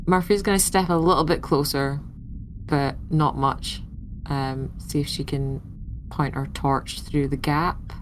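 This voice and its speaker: Slow, low-pitched woman role-playing. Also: fairly even intonation. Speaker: tabletop game player. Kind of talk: casual role-play, speaking and narrating for a character. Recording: noticeable background noise.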